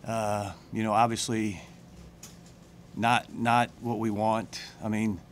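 A middle-aged man, a head football coach, answering questions at a postgame press conference in plain, halting speech full of fillers and restarts, into a table mic. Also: fairly low pitch, flat delivery, a little hiss or hum. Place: press room with branded backdrop. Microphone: table mic on a stand.

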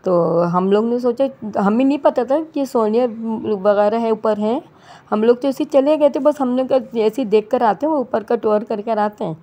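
A woman's voice: fairly high pitch, moderate pace, warm tone. A woman from Pakistan with a Pakistani Urdu accent, talking casually over her vlog footage in a chatty, rambling way with many restarts. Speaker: vlogger.

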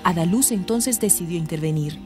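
A woman's voice: on the low side, moderate pace, natural voice. A woman narrating a serious radio soap opera from a script. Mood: serious storytelling. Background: music.

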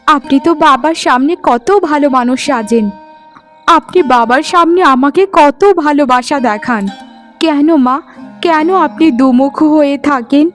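A woman's voice: high-pitched, close to an everyday voice. A woman voicing a meek, mistreated young stepdaughter in a cartoon, plaintive and pleading. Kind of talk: melodramatic Bengali cartoon dialogue.

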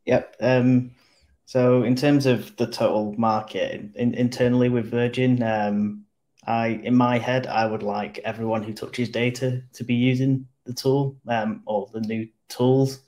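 A man answering a panel question on a video call, measured and pausing often on "um". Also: mid-range voice, level tone, darkish tone. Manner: measured, with frequent "um" pauses.

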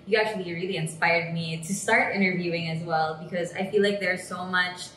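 A young woman with a slightly low voice hosts an interview, asking questions in a chatty, encouraging way. The room sounds echoey, and there is a faint hum behind her. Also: fairly even intonation, fairly quick, fairly bright tone. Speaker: interview host. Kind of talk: casual interview chat. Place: bright indoor room. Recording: roomy echo with faint hum.

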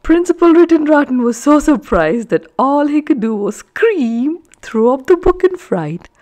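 A woman narrating a children's story aloud, with expressive, emphatic phrasing for young listeners. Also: high voice, unhurried.

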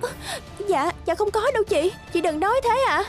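A woman voicing a timid, anxious young kindergarten teacher in an animated drama, deferential and pleading. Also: very high voice, moderate pace, faint music behind.

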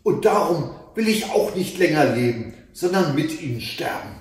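Guy reading as a sad devoted girl in a fairy tale, higher pitched, plaintive, echoey room. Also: expressive, moderate pace, natural voice. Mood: lamenting. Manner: plaintive, earnest. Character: grieving, devoted young maiden seeking her brothers. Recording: echoey room, mic at a distance.

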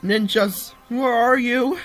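A man's voice: extremely high pitch, fairly even intonation, takes it slowly, a bit bright, shouting, soft background music, heavily acted. A man voicing a plucky, earnest young creature in a cartoon fan dub, exclaiming dramatically. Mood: excited.

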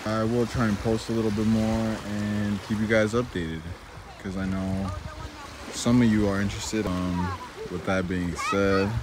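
A young man casually narrating his own travel vlog in a laid-back, chatty way, outdoors by a pool and beach. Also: lowish voice. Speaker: vlogger. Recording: noisy outdoor camera audio.

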